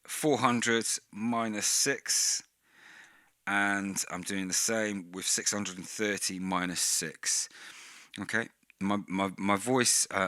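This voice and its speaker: Man, lowish bright voice, casual tutorial host, close on a dry vocal mic. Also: no echo. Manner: close-miked, talks right on the mic at times. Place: indoor room, dim light. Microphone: vocal mic with pop screen through mixer.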